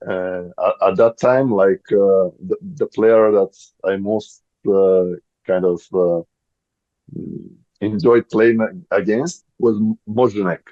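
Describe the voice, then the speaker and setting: A man in his thirties with a Bulgarian accent, a podcast guest speaking English as a second language and often pausing to search for words. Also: on the low side, fairly even intonation, dry recording, highs cut off. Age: 30s. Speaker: guest, volleyball player. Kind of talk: podcast interview.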